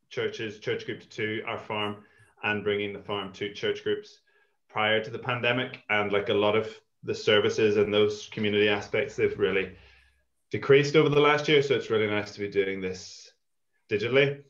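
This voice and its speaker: A man's voice: fairly low pitch, a bit bright. A man in his thirties, a community farm founder who grew up in Ireland and Malawi, talking in a thoughtful, rambling way as a guest on a video call. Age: 30s. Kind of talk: casual interview chat over video call. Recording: echoey, dull lo-fi call audio.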